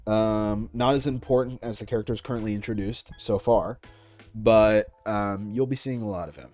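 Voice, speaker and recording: A man's voice: lowish voice, normal speed, darkish tone. A young man answering questions about his creative work in a casual interview, as a content creator. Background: music.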